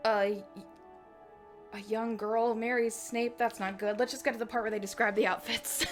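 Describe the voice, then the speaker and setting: A woman's voice: a little high, fairly even intonation, normal speed, faint music behind. A woman giving a dramatic reading of her own fan fiction, with wry, self-mocking asides. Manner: theatrical, self-mocking commentary. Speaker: reader and host.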